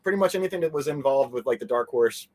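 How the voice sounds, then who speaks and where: Male interview guest, mid-range voice, casual and digressive, thinking out loud. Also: mid-range voice, animated, quick pace. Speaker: interview guest, convention organizer.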